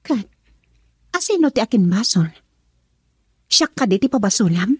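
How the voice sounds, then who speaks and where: A woman playing a young woman who breaks off her engagement in a radio drama, her speech sharp and dismissive. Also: mid-range voice, sing-song, normal speed, breathy edge, dry recording, clean studio sound. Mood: defiant.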